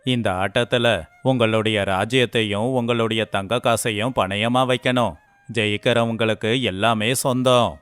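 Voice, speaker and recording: A man's voice: on the low side, fairly even intonation, measured pace, a bit bright, smooth, no room sound. A man playing a proud, scheming, mocking great king in a folktale cartoon, speaking in a pompous, boastful manner. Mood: taunting. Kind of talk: moral story character dialogue.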